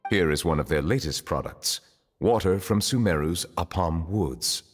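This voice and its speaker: An actor playing a reserved, dignified dragon in human form who serves as a chief justice in a video game, speaking formally and pensively. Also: male voice, low voice, animated, normal speed, husky. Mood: composed, formal. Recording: long reverb, faint music behind.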